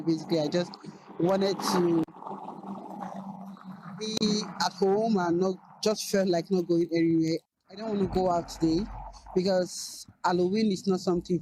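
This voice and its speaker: A young woman from Nigeria, answering interview questions in a relaxed, matter-of-fact way. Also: lowish voice, noisy background. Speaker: interviewed student.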